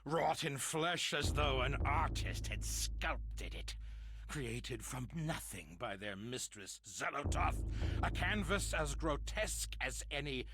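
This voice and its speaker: A man's voice: mid-range voice, animated, slow, noisy background. An actor voicing a tormented, half-mad man raving in horror, in a grandiloquent, theatrical monologue for a horror game. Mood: horrified awe. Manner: grandiloquent, dramatic, swinging from whisper to shout.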